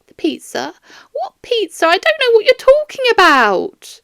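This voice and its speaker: A woman's voice: very high voice, huge pitch swings, measured pace, gravelly, a bit bright, dry recording, studio quality, voice pushed up, full character voice. A woman voicing a flustered, doting older woman who works at a museum, in a rambling, plaintive and baffled manner, for an audiobook. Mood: baffled, protesting. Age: 60s.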